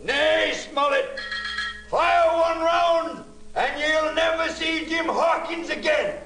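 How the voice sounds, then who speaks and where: Bullying pirate captain, gravelly and slow, blustering sailor commands, children's adventure record with music behind. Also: male voice, extremely high pitch, pushed and bright, roomy sound, exaggerated voice, rough recording. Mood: threatening. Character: scheming, bullying one-legged pirate leader. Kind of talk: children's audio adventure acting.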